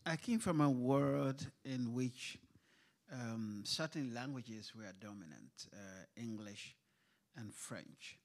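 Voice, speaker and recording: A man's voice: medium pitch, animated, slow. A man who grew up in Kuwait, speaking as a writer at a literature panel, hesitant with many fillers and restarts. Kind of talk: panel discussion. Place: event hall on stage.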